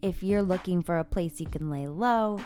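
A woman presents a review show in a somewhat low-pitched voice. She moves between theatrical reading and chatty commentary. Music plays softly behind her. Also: moderate pace. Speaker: podcast host.

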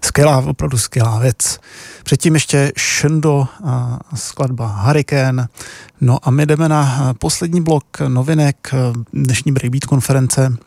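A man with a Czech accent presenting a music radio show casually and hesitantly, with many filled pauses. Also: medium pitch, steady tone, creaky, darkish tone, no echo.

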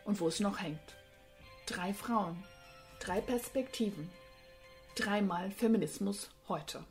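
A woman reading a scripted podcast introduction as its narrator. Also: fairly low pitch. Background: music.

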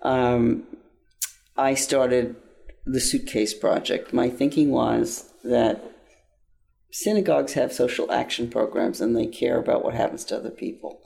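Older male guest, gravelly and mellow, earnest, halting. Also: medium pitch. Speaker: interview guest, nonprofit founder. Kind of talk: interview answers, personal account.

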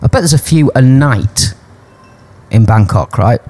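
A man chatting loosely on a podcast, his pitch rising and falling a lot as he rambles. He teases the others and laughs often. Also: expressive, measured pace, darkish tone. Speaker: podcast co-host. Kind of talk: casual chat. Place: Thailand.

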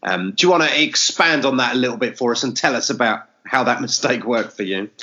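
A man's voice: medium pitch, animated, a little fast, pushed and bright. Easygoing male podcast host, muffled. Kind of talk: podcast interview.

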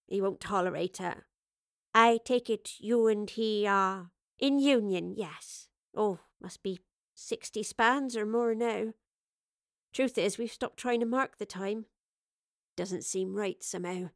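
A woman's voice: mid-range voice, takes it slowly, no echo, clean studio sound. A woman voicing a weary, kindly working-class old woman with homely, colloquial, wistful speech in an audio story. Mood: homely, resigned.

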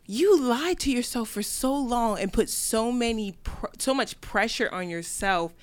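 A woman's voice: fairly high pitch, animated, unhurried. A woman in her twenties speaking candidly and reflectively in a casual group chat. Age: 20s.

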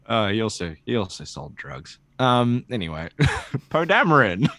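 A man with a low voice hosts a casual podcast chat. He rambles along in an animated, joking manner and swears freely. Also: measured pace, no echo. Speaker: podcast host. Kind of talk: casual chat about comics.

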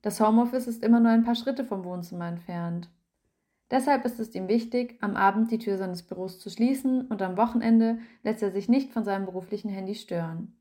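A woman narrating a written article aloud in an even, measured reading style. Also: medium pitch. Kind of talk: scripted narration.

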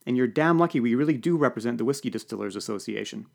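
A man's voice: medium pitch, lively intonation, fast talker, gravelly. A man voicing a dry, knowing young woman with a conspiratorial edge. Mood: wry.

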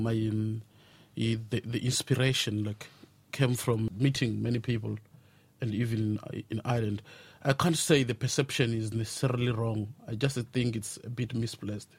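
A man's voice: medium pitch, dry recording. A man with a South African accent answering spontaneously as a radio interview guest, explaining his views in a thoughtful, hesitant way.

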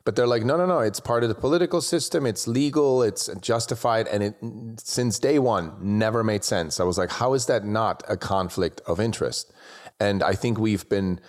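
A man from Switzerland with a fairly low voice speaks as an interview host. He talks in a conversational way, putting points and questions to a guest. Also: moderate pace.

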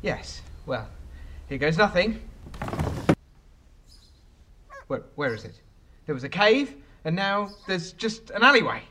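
Casting: man to play anxious, flustered male hero, high animated voice, sarcastic, noisy echoey recording. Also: moderate pace. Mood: nervous, flustered. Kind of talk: comedy fantasy audio drama acting. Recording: echoey reverberant space with clear noise.

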